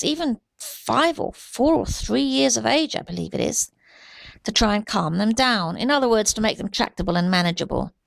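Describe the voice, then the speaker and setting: Woman, gravelly fry, dry close sound, halting earnest monologue. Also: a little high, no echo, poor audio. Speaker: amateur video commentator. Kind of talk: unscripted opinion monologue.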